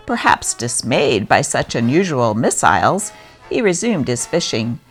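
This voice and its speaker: A woman narrator giving a scripted reading of old newspaper stories. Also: sits low.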